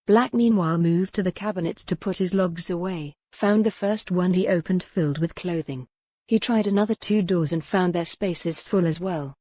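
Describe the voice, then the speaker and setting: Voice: medium pitch, mellow, no echo. A narrator reading a historical romance novel in scripted, dramatic storytelling style. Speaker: audiobook narrator.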